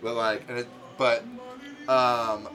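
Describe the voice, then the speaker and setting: A young man co-hosting a casual stream, rambling and excitable with plenty of slang. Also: medium pitch, slow. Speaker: co-host of a streamed cooking show. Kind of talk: casual chat. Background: music playing.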